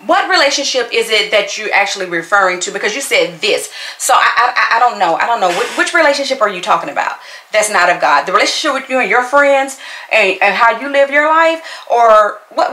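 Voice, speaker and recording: Woman in her forties, sassy and emphatic, bright tone, roomy echo. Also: medium pitch. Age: 40s. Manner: sassy, talks straight to the commenter, repeats herself for emphasis. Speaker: YouTuber. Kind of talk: casual vlog reply to a commenter while doing makeup. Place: bedroom at home, indoors. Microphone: fixed camera at desk.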